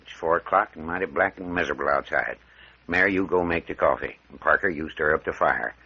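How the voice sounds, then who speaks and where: An actor playing a folksy, fatherly country doctor with a rural American drawl and a calming manner in an old radio drama. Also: very deep voice, creaky, breathy edge, full character voice. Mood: firm, calming. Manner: drawling, soothing, unhurried authority. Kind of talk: 1940s American radio acting. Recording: muffled vintage radio recording.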